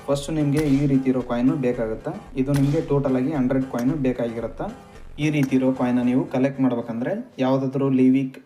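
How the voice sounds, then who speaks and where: A man's voice: medium pitch, mellow. A man from Karnataka, narrating a gaming tutorial as a channel host. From Karnataka, India. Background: background music.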